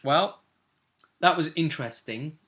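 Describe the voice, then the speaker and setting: A man's voice: on the high side, unhurried, pushed and bright. A man acting as game master, recapping a tabletop fantasy session in a conversational, storytelling way. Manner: storytelling, acting out quoted dialogue.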